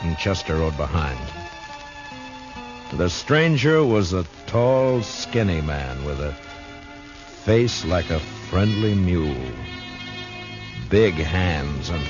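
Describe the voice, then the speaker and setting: An actor playing a steady, laconic American frontier marshal in a terse, understated 1950s radio drama style. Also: male voice, sits low, heavily acted. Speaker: radio drama lead and narrator. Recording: muffled vintage radio recording, music.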